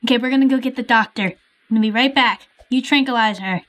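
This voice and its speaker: High-voiced woman playing roleplay. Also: a bit bright. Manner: quick, chatty, uses fillers. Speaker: roleplay player voicing several characters. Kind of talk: casual game roleplay.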